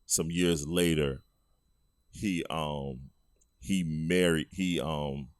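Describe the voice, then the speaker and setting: A very deep-voiced man in his forties hosts a casual podcast in a relaxed drawl, chuckling as he tells stories. The recording is dry and close, with no room sound. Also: drawn-out words, dry recording. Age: 40s. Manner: laid-back, chuckling storyteller. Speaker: podcast co-host. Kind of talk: casual chat.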